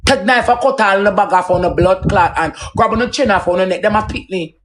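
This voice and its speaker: A man's voice: high voice, a little fast, fairly bright tone, a little hiss or hum. A man with a Jamaican accent in a combative, profane, emphatic unscripted rant on a livestream. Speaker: social media live streamer.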